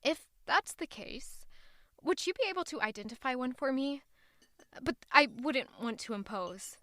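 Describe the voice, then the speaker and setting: High-pitched young woman, bright tense tone, anxious, guarded, audio fantasy drama. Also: expressive, normal speed, no room sound. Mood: hesitant, careful. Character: anxious young traveling woman hiding a dangerous secret.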